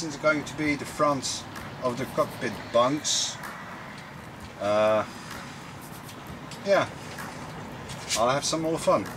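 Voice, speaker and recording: A man's voice: mid-range voice, background noise. A young man casually explaining his boat build as he works under a tent outdoors. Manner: relaxed, unhurried explaining while working. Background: music.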